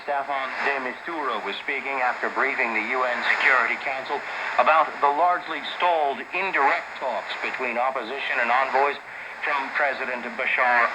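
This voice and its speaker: Male radio newsreader, mid-pitched, scripted delivery, very noisy shortwave reception. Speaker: radio news presenter. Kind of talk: scripted news reading.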